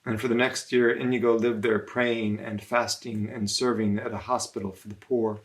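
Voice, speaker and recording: A man's voice: mid-range voice, little pitch movement, moderate pace. Man reading a children's storybook aloud. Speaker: religious brother reading aloud.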